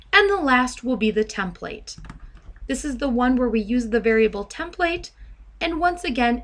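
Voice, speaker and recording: A woman software trainer narrating a step-by-step demo in a measured, instructional way. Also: on the high side.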